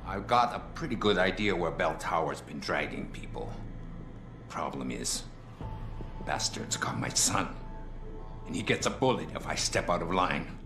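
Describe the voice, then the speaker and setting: A menacing middle-aged crime boss from a video game, speaks in a low, drawn-out voice. He sounds smug and pointed, always bargaining. The voice echoes, with music playing behind it. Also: expressive. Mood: calculating. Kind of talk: dramatic video game acting.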